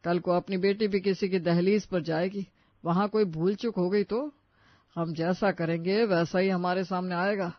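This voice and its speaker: A woman voicing a fair-minded, calm and considerate older father-in-law in a story. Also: on the low side, moderate pace, no room sound, muffled recording, natural voice. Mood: earnest.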